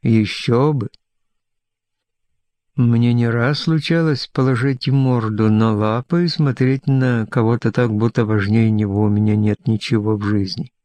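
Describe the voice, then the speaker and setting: A reserved, courteous man with a wry streak read by a male narrator. He talks slowly, in audiobook dialogue with a close, dry sound. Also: mid-range voice, steady tone, dark tone, dry recording, lightly acted.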